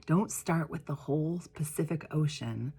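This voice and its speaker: A woman reading the part of a wise, patient old man in a storybook, giving gentle advice in a measured way. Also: low voice, husky, exaggerated voice. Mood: softly instructive. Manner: slow, measured, instructive.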